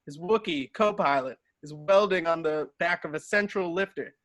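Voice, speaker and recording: A man's voice: on the high side, moderate pace, a bit bright, dry recording. A man reading stage directions as narrator in an amateur table read, now and then stumbling and correcting himself.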